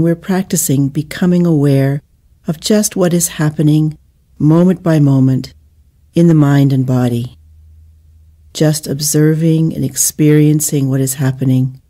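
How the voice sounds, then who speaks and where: A woman leading a guided meditation in a soothing, measured manner with gentle pauses between phrases. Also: sits low.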